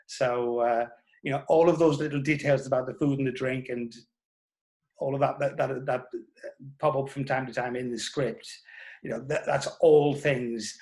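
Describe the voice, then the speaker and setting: A man with a mid-pitched voice giving an interview. He reminisces thoughtfully and haltingly, doubling back on words while he finds the phrase he wants. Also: darkish tone, low-quality recording. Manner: halting, reflective, repeating words as he searches. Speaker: interviewee, writer.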